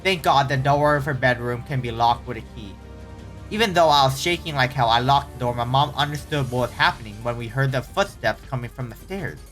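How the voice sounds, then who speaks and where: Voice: medium pitch, fairly quick, a bit bright, soft background music. A casual host reading online horror stories aloud, often stumbling and correcting the wording, with startled, exclaiming reactions in between.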